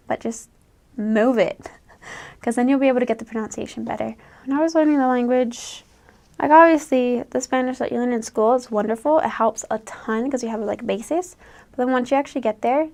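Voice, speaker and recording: Chatty young American woman, higher voice. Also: a little mellow. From United States. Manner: chatty, laughs often, acts out quoted voices. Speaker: returned missionary. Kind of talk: casual advice talk.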